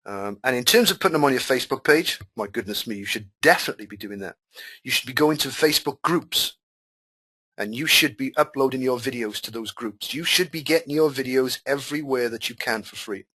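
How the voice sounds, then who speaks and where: A man whose first language is Welsh runs a live online class, reading questions aloud and answering them at length. He talks in a chatty way and repeats his points, and his pitch sits in an ordinary middle range. It sounds like he is on a computer mic during a call. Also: a little fast, sharp tone, slightly rough. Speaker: webinar host and marketing coach. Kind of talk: live webinar Q&A.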